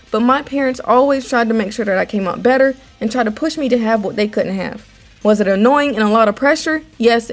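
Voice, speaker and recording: A young woman presents opinion commentary. Her voice sits fairly high and she explains things in a measured, conversational way over faint music. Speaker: anime commentary video host.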